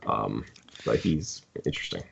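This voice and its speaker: A man with a very deep voice chats as a podcast host. He speaks in a halting, stammering way, looping back over his phrases. The recording is a little dull and lo-fi. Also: lively intonation, vocal fry, slight background noise. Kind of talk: casual podcast discussion. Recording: dull, lo-fi sound.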